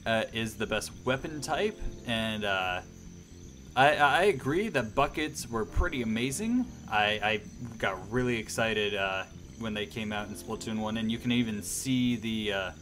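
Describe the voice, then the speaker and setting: A man with a fairly low voice hosts a casual livestream. He rambles along enthusiastically, talking straight to his viewers over quiet background music. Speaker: host of a radio-style livestream.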